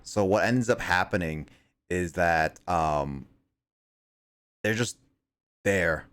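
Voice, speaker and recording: A man's voice: deep voice, takes it slowly, fairly bright tone, smooth. A young man streaming a video game and chatting casually with his viewers, rambling, mocking himself and stumbling over names. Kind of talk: casual livestream chat with viewers.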